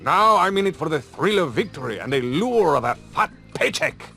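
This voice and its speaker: An actor playing a pompous, wounded champion diver in a video game, telling things in florid, theatrical style. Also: male voice, a little high, sing-song, moderate pace, gravelly, sharp tone, faint music behind, heavily acted. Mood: haughty.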